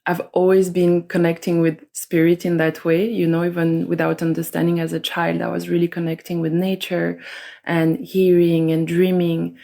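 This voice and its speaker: A middle-aged woman from Switzerland, a guest speaking slowly and softly in a reflective interview, often pausing to search for words. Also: fairly low pitch, monotone, vocal fry. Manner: slow, soft-spoken, searching for words. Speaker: guest, somatic educator and astrologer.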